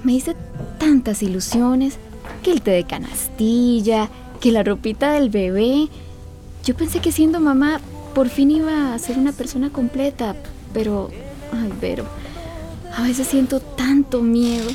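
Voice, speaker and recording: A woman's voice: sits high, unhurried, mellow, faint music behind. An actress playing an anxious, affectionate pregnant teenage girl with a Costa Rican accent in a radio drama. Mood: worried, confiding.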